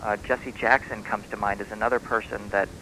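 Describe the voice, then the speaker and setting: A man, a guest author in a radio interview, explaining things in an earnest, measured and emphatic way, taped from shortwave radio. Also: on the low side, little pitch movement, background noise. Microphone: radio broadcast.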